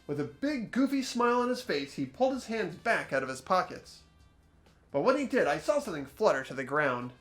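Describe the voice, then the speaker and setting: A young man hosting a game playthrough, switching between expressive scripted narration and casual commentary. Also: high-pitched, brisk. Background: soft game music.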